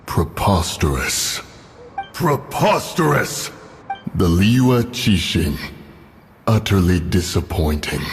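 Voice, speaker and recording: An actor playing a proud, solemn immortal guardian beast in a fantasy game, speaking in pompous, archaic, deliberate phrases. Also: male voice, mid-range voice, fairly bright tone. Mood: indignant. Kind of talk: theatrical fantasy game voice acting.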